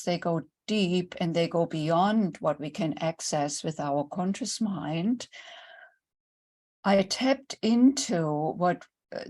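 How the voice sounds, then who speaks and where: A woman's voice: lowish voice, darkish tone. An older woman from Germany with a German accent, a guest speaking earnestly and reflectively about her own story in an online interview. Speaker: guest, intimacy coach.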